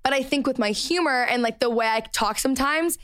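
A woman's voice: high voice, fairly quick, a bit bright, clean tone, dry recording, studio quality. A young woman from Texas hosting a podcast, talking casually in an upbeat Valley Girl manner full of "like". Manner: upbeat Valley Girl speech with many "like" fillers. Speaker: podcast host. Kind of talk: casual chat.

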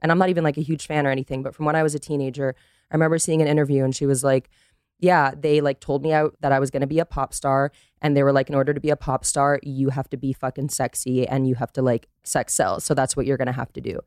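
Podcast guest, woman, clear smooth voice, dry close sound, candid and emphatic, swears freely. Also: extremely low pitch, flat delivery, quick pace, a little mellow, no echo. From Costa Rica. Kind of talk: candid casual conversation.

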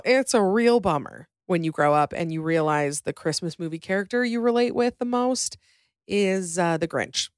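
Woman, podcast host, chatty, wry, joking, slightly low voice, dry close mic. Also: dry recording. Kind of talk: casual chat reacting to voicemails.

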